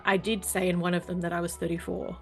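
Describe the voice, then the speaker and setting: A woman in her thirties, nose blocked up with hay fever, talking casually as a podcast co-host. She records at home over a video call, with soft music faint underneath. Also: fairly low pitch, flat delivery, fast, creaky. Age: 30s. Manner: chatty, playful, laughs often. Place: home lounge room. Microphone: home podcast mic over video call.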